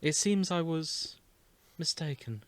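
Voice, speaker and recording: Bloke doing a gentle sad princess, slow n dramatic, dry recording. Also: male voice, a little high, expressive, dry recording, pitched above the performer's own voice. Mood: sincere. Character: earnest young princess, gentle and regretful. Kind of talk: hyperdramatic fan fiction reading.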